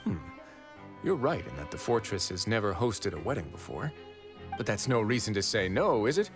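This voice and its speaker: Grave, low-voiced prison warden duke. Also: male voice. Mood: reassuring, courteous. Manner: calm, deliberate, formal. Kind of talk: dramatic video game dialogue. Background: background game music.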